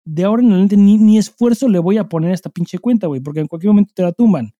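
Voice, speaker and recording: A man's voice: high-pitched, rapid-fire, mellow, no echo, muffled recording. A Mexican man hosting a podcast, telling things casually and conversationally with plenty of slang and swearing. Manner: informal, heavy slang and swearing. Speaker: podcast host.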